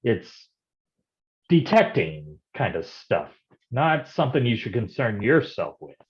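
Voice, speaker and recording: Guy doing gruff old-school gumshoe, slangy wisecracks, slow drawn out lines that go up and down, kinda muffled stage reading. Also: mid-range voice, sing-song. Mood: gruff, protective. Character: hard-boiled, slangy male private detective, gruff but protective. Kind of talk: noir detective staged reading.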